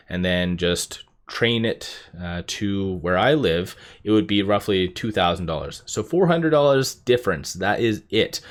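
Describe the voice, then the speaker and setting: A man giving informal investor commentary as a YouTube host, rambling as he thinks out loud. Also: deep voice. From Canada.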